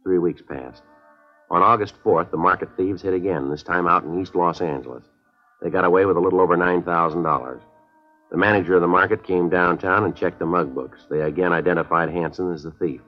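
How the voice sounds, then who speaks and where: A man's voice: deep voice, a little fast, close to an everyday voice. An actor playing a terse, businesslike police detective with an American accent, narrating his case in clipped, matter-of-fact 1950s radio drama style. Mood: flat, businesslike. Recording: muffled old radio recording, faint music.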